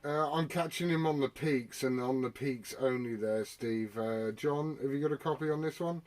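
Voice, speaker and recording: A man's voice: medium pitch, normal speed, darkish tone. A man making casual radio contact as an amateur operator, using radio jargon over a transmission. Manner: radio jargon, spelling out with phonetic alphabet.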